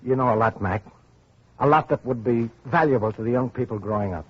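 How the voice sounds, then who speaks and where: An actor playing a weary, earnest survivor of atomic war, narrating haltingly in an old-time radio drama style. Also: male voice, mid-range voice, breathy edge, dry recording, natural voice. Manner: halting, hesitant, repeating words. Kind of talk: 1940s-50s radio drama acting and narration. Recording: dull old radio recording, music behind.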